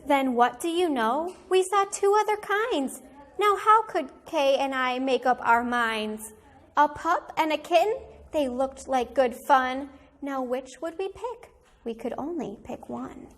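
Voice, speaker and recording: A woman teacher reading a children's picture book aloud in an animated, deliberate storytelling manner for young listeners. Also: high-pitched.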